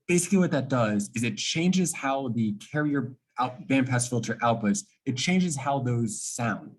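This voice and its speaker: A man with a faintly rough, husky voice at an average male pitch walks through technical material. He sounds like a student presenting a project, informal and explanatory, now and then asking a question and answering it himself. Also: animated, unhurried.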